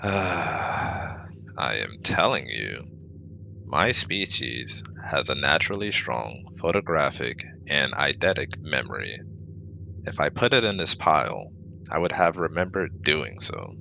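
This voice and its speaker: A man's voice: very deep voice, sing-song, slow pace, vocal fry, bright, forceful tone, slight background noise, exaggerated voice, low-quality recording. A man playing a formal, easily exasperated alien exobiologist with stiff, precise wording in a scripted ASMR audio roleplay.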